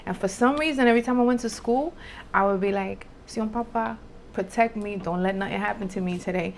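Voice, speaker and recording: A woman's voice: medium pitch, a little fast, gravelly, slight background noise. Candid woman, informal. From Dominican Republic, later New York City. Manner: informal, emphatic repetition, mixes in Spanish. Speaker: podcast guest, spiritual entrepreneur. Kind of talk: candid personal storytelling, casual.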